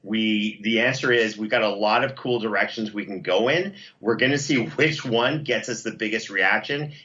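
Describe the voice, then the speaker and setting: A man with a fairly low but very bright, forceful voice speaks as an interview guest. He is effusive and casual in promoting his projects, over a slightly dull, lo-fi line. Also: normal speed. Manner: enthusiastic, effusive. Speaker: interview guest, audio drama producer.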